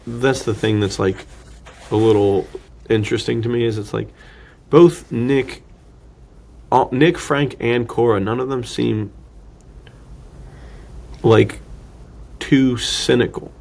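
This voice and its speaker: A man's voice: medium pitch, fairly even intonation, takes it slowly, vocal fry, pauses often, darkish tone. A man co-hosting a podcast, discussing film casually and thinking aloud in a rambling, slangy way. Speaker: podcast co-host.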